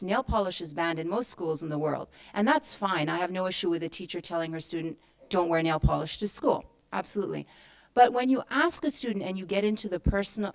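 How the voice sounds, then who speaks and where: Woman from kuwait on a conference panel, earnest, explains things, asks rhetorical questions, mid voice. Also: mid-range voice. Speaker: panel speaker. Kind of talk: answering a question at a public forum. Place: conference hall.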